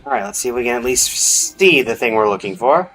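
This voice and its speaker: A man's voice: mid-range voice, expressive, fast, fairly bright tone. Man thinking aloud over game music. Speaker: game streamer. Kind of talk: casual gameplay commentary. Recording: music behind the voice.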